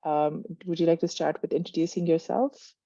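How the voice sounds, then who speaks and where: A woman's voice: deep voice, fairly even intonation, moderate pace, warm tone, no echo, highs cut off. A woman hosting a webinar interview over a video call, friendly and full of small acknowledgements and fillers. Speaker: webinar host. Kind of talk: interview host questions.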